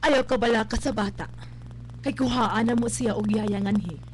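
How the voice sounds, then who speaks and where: A woman's voice: fairly high pitch, normal speed, a little mellow, natural voice. A woman playing a composed, practical middle-aged mother in a radio drama, firm and reassuring, with a Cebuano Filipino accent. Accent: Filipino, Cebuano-speaking. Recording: faintly noisy live radio capture.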